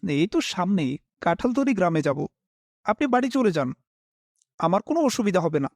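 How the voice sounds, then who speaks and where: A young man tells a horror story as its main character. His voice sits high for a man and moves with lots of melody. He reads as a scripted, expressive storyteller, slipping into polite dialogue. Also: moderate pace, no echo, clean studio sound. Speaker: first-person narrator and main character.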